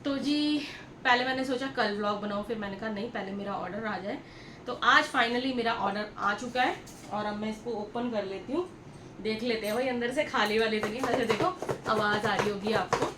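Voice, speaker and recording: High-voiced woman vlogging, echoey room. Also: moderate pace, fairly bright tone. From India. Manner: chatty, repetitive, addresses viewers directly. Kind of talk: casual vlog storytelling to viewers. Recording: echoey room with clear background noise.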